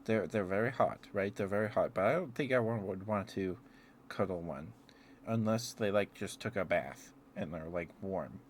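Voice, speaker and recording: A man's voice: low-pitched, normal speed, a little hiss or hum. A man doing a casual ASMR ramble as a livestreamer, playfully musing aloud and letting thoughts trail off.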